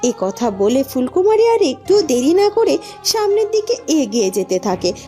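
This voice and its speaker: A woman's voice: sits high, very animated, normal speed, dark tone, faint music behind. A woman reading scripted storybook narration as the narrator of a tale.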